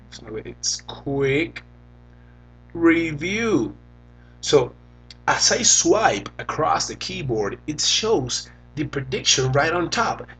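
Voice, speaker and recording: Young Venezuelan-accented man, native Spanish speaker, husky, unhurried casual tech-review talk. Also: medium pitch, fairly bright tone, rough recording. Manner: slow, halting, thinks aloud while typing. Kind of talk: casual phone review demo.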